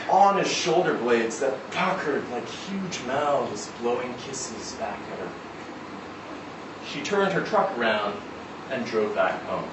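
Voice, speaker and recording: A man reading a literary short story aloud at a live event in a measured, expressive way. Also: mid-range voice. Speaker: story reader. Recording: roomy, distant, noisy live event recording.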